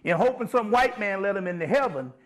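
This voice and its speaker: High-pitched man lecturing informally. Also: expressive, fairly quick. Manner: emphatic, profane, conversational, often checking listeners follow.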